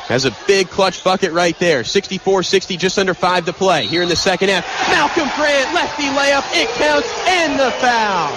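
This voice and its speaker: A man doing live radio basketball play-by-play as the announcer, in excitable, punchy, clipped phrases. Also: sits high. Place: courtside in an indoor arena. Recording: loud arena noise behind. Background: loud arena crowd noise.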